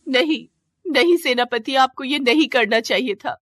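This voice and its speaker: A woman's voice: high-pitched, normal speed, fairly bright tone, dry recording, pitched above the performer's own voice. A woman voicing a devout, proud serpent queen who pleads and defies with dramatic emotion in a fantasy story. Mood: grieving. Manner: impassioned, dramatic pleading and defiance.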